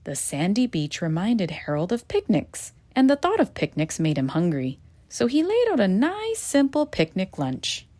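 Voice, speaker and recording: Lively, expressive woman reading aloud. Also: mid-range voice, moderate pace. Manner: expressive, gentle storytelling. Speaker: storybook reader for children. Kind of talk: children's read-aloud narration.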